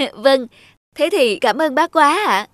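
Dreamy lazy young guy in a cartoon lady doing him, high voice, breezy and full of exclamations. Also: lively intonation, slow, a bit bright, heavily acted. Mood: flattering. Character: lazy, scheming, dreamy young man, smooth flatterer. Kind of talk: scripted cartoon dubbing.

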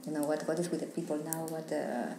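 A young woman speaks slowly and haltingly, restarting her sentences as she hunts for English words. Her voice is lowish and mellow. It is recorded in an echoey room with a faint hiss behind it. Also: steady tone. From Ecuador. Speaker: artist guest. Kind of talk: casual interview conversation.

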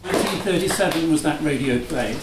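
Man, event presenter, higher pitch, scripted introduction, roomy, off-mic sound. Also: brisk. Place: small room with live audience. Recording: roomy, distant, faint noise.

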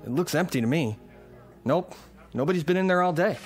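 An actor playing a nervous, folksy bartender who stammers, in a radio drama. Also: male voice, fairly high pitch, lively intonation, a little fast, music in the background, close to an everyday voice. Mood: friendly. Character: nervous male small-town bartender.

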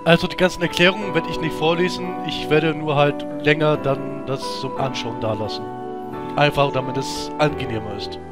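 A man's voice: fairly high pitch, fairly even intonation, normal speed, loud music behind the voice. A man hosting a let's play, explaining things in an informal, casual way. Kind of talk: casual chat.

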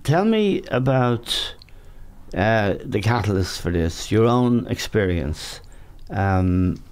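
An older Irish man hosting, reading a measured introduction and asking questions. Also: fairly low pitch, animated, clear, resonant tone. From Ireland. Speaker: interviewer, host. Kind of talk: scripted intro then interview questions.

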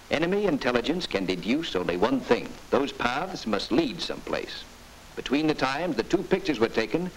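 A man's voice: mid-range voice, poor audio. A man narrating a 1940s military training film in a stern, emphatic, instructive way. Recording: hissy 1940s film soundtrack.

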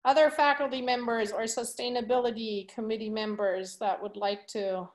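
Upbeat high-voiced woman moderator. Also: steady tone, normal speed, rough recording. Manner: upbeat, encouraging. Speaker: panel moderator. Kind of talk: moderating a video panel.

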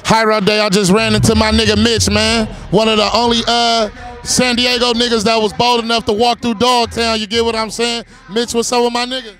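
A man's voice: very high voice, little pitch movement, a little fast, a bit bright, faint music behind. A man hosting casual street interviews at an outdoor festival, talking slangy and hyped into a handheld mic. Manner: hyped, slangy, repeats "yes, sir". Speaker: host of street interview show.

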